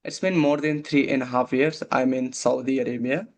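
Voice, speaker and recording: A man's voice: medium pitch, steady tone, moderate pace. A young man, a guest in a casual talk, speaking hesitantly with many "like" and "uh" fillers. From Nepal. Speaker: guest, waiter. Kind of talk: casual conversation practice.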